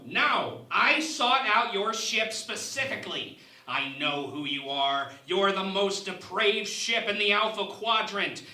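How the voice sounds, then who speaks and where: A man playing a haughty, scheming alien ambassador in a parody sci-fi radio play, speaking in a theatrical, deliberate manner. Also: sits high, sharp tone, roomy sound, heavily acted, poor audio.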